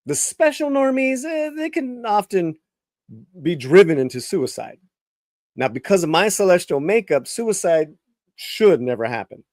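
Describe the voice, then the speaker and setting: A man performs a first-person novel aloud with a somewhat high, very animated voice that swoops up and down. His reading is theatrical, dropping to a hush and then punching words, with frequent stumbles and restarts. The sound is dry and close. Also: a little slow, dry recording. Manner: theatrical, swinging between hushed and emphatic with frequent restarts. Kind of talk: dramatic live reading of first-person fiction.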